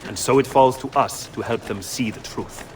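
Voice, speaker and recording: A man's voice: medium pitch, moderate pace, lightly acted. Man as grave Italian advisor, noisy background. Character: shrewd grave male Italian conspirator and advisor. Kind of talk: video game cutscene acting. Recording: outdoor ambience noise behind.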